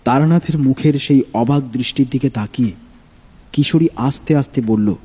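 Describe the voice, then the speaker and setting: A man narrating a scripted horror story in a measured, suspenseful manner. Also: mid-range voice, dark tone, breathy edge. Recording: long reverb on voice.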